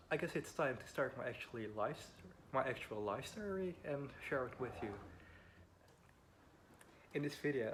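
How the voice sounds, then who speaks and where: Young man, twenties, Dutch accent, slightly low voice, nervous and halting, faint wind and traffic behind. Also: fairly bright tone. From Netherlands. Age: 20s. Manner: hesitant and apologetic, frequent ums. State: a bit nervous. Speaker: vlogger. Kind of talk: personal storytelling to camera. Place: home beside a busy road. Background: faint wind and passing traffic.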